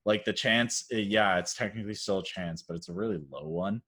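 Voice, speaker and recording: A man's voice: on the low side, fairly quick, vocal fry, fairly bright tone, slightly rough. A young man, co-hosting a casual podcast chat in a laid-back way with lots of fillers. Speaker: podcast co-host.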